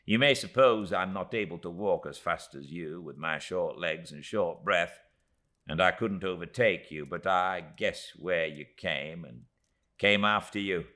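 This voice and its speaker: A man's voice: low voice, measured pace, bright, forceful tone. A man reading a storybook and voicing a tiny, emphatic, indignant middle-aged woman in distress. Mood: urgent.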